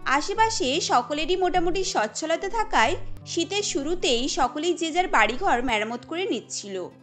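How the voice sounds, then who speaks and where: High-voiced woman narrating a cartoon. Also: moderate pace, soft background music. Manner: steady storytelling.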